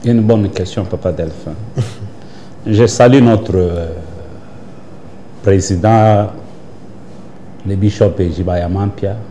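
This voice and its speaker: A man's voice: low-pitched, slow, pauses often, mellow, slight background noise. Emphatic preacher man. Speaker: prophet and church leader, guest. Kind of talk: interview answers with preaching cadence.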